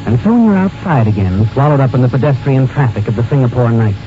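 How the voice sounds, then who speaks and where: Prominent music sits behind a muffled old radio recording of a male narrator. He tells a suspense story in an ominous, dramatic scripted style, at an average male pitch. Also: lively intonation, fast. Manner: ominous, addresses the character as "you".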